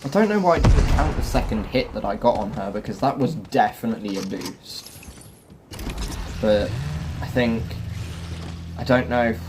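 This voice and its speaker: A man's voice: mid-range voice, expressive, normal speed, long pauses. A man hosting a gaming video with casual gameplay commentary. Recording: voice-over with music and game vehicle sounds. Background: clear music and game engine noise.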